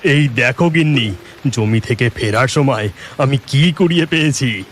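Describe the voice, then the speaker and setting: A man's voice: medium pitch, normal speed, fairly bright tone, a little hiss or hum, close to an everyday voice. A man playing a pious, plainspoken village farmer in a children's cartoon, with an exclamatory, lamenting manner. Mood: curious.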